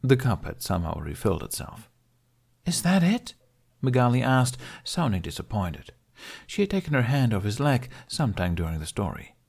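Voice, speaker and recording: Low, gravelly male audiobook narrator. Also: sing-song, a little fast, a little mellow. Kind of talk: scripted story narration.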